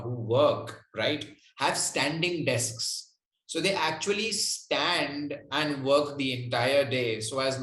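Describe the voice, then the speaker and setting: A man's voice: mid-range voice, fairly bright tone. A man answering interview questions as a guest, explaining conversationally and often checking in with the listener. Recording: roomy, echoey sound.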